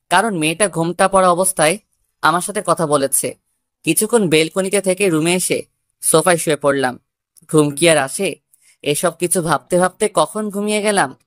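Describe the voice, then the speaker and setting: A woman's voice: lowish voice, no room sound, clean studio sound. A woman narrating a scripted first-person love story.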